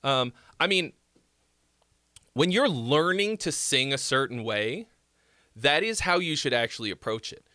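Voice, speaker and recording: A man's voice: mid-range voice, lively intonation, measured pace, pauses often, bright, forceful tone, no room sound, clean studio sound. A man with a nasal voice hosting a live song critique stream, rambling casually with lots of fillers and restarts. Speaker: music critique livestream host. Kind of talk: casual livestream song feedback.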